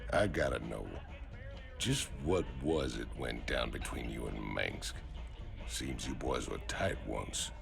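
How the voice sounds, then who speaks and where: An actor playing a burly, sardonic outlaw in a video game, with a gravelly voice and a lazy folksy drawl. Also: male voice, a bass voice, takes it slowly, over music, full character voice. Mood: probing. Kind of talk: video game cutscene acting.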